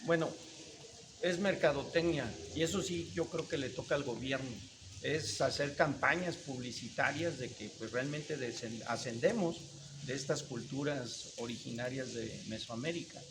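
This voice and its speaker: A man's voice: medium pitch, normal speed. Mexican guy being interviewed at an event, earnest, says things twice, noisy crowd sound behind. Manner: earnest, repeats key words for emphasis. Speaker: interview guest, businessman. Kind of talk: casual interview.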